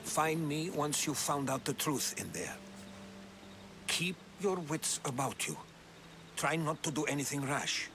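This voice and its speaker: An actor playing a wry, quietly protective male companion in a video game cutscene, giving low-key, dry advice. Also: animated, unhurried. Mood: wry concern. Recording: clearly noisy game ambience.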